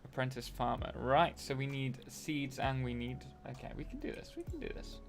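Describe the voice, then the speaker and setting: A young male streamer chats loosely while he plays, drifting between the game and replies to viewers. His voice sits at an ordinary middle pitch at a desk mic, with faint music behind him. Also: big pitch swings, moderate pace. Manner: chatty, rambling, reads and answers chat messages. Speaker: live-streaming game host. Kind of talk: casual live-stream chat while playing.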